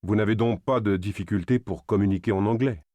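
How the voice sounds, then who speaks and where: Man as a polite low-voiced interviewer. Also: fairly quick, warm tone, no room sound, close to an everyday voice. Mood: polite, neutral. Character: formal, polite male job interviewer. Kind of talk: scripted interview questions.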